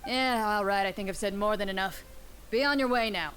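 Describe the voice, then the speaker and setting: A woman voicing a greedy, dismissive merchant with a gruff, sarcastic manner in a video game. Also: mid-range voice, brisk, fairly bright tone, a little hiss or hum, close to an everyday voice, poor audio. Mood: grumbling. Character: scornful, grumbling greedy merchant, voiced by game master.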